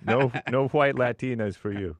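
A man's voice: mid-range voice, moderate pace, no room sound. A man co-hosting a talk radio show, making wry jokes. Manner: wry, joking.

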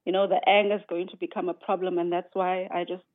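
A woman's voice: fairly low pitch, level tone, quick pace. Hesitant young woman on a phone line. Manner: hesitant, soft-spoken. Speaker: caller. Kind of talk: call-in radio talk.

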